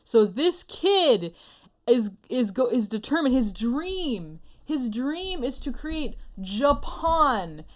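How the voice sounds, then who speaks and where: Woman cohost chatting about anime, highish voice, expressive, kinda mock exasperated. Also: slow pace. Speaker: co-host of an anime review show. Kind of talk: casual chat review.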